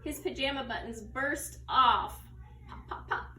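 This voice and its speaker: A woman's voice: sits high, measured pace, bright, forceful tone. A woman teacher reading a children's story aloud. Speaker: second grade teacher. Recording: echoey, distant mic, faint noise.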